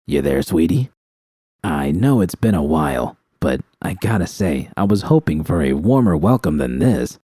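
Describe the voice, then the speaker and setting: A man voicing a menacing male audiobook villain with a deep voice, taunting with false sweetness. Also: very animated, normal speed, dark tone, husky, dry recording, clean studio sound, exaggerated voice. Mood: smug taunting. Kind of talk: audiobook character voice.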